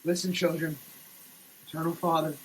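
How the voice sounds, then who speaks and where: A man giving a theatrical, declamatory dramatic reading, swinging between hushed delivery and shouting, as a comic performance. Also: on the high side, little pitch movement, moderate pace, a little hiss or hum, poor audio. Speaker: sketch comedy performer. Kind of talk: dramatic reading of a product label. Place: shower.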